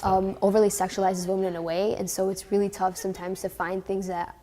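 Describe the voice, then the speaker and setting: A woman's voice: mid-range voice, level tone, a little fast, warm tone. A nineteen-year-old woman from Detroit talking casually in an interview, often saying "like". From Detroit, Michigan. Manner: chatty, uses "like" often.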